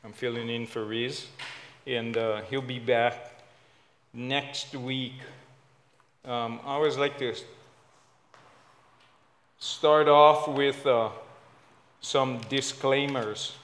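Slow-speaking Hawaiian man preaching. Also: mid-range voice, fairly even intonation, a bit bright. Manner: casual, self-deprecating, halting with fillers. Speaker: guest preacher. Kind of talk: sermon.

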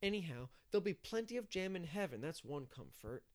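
Guy doing a cheeky little boy from a storybook, high pitched, earnest n pleading, swoopy. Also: very animated, normal speed. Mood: cheeky, insistent. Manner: childish grammar, pleading insistence.

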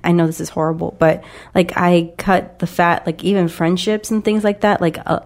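A woman with a lowish voice talks in a loose, rambling way, full of fillers. She is getting over a cold and sniffles now and then during the relaxed chat. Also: normal speed. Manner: rambling, many fillers like "like" and "you know". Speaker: podcast co-host. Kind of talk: casual dating-advice chat.

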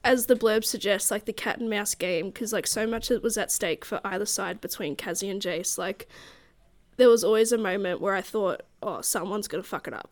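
A woman in her late twenties co-hosting a book club podcast. She has a cold, sounds a bit nasal, and rambles with lots of fillers. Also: mid-range voice. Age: late 20s. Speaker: podcast co-host. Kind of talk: casual book club chat.

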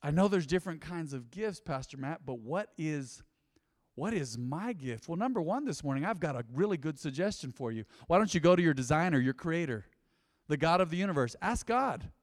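A man preaching a sermon as pastor, animated and conversational, with frequent asides to the congregation. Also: fairly high pitch, fairly quick, big-room sound. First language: English. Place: church.

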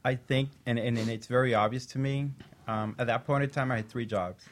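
A man talking as a guest on a talk show, reflective and measured, with a few restarts. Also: medium pitch, steady tone.

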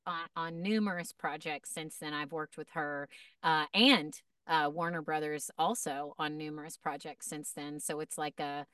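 A woman voice actor chatting as a podcast guest, telling stories in an animated way with many restarts and fillers. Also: low-pitched, normal speed, smooth, dry recording, clean studio sound, distant mic. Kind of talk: casual podcast storytelling.

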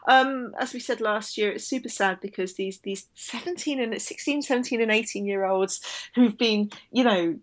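A woman's voice: fairly high pitch, normal speed. A woman co-hosts an informal podcast. She talks in a chatty, conversational style and readily drifts into digressions. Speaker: podcast co-host. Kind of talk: casual chat podcast about women's cycling.

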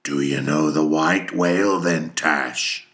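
A man voicing a fierce, obsessive old whaling captain in an audiobook, declaiming his orders with slow, emphatic force. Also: rumbling low, steady tone, a bit bright, heavily acted. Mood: excited.

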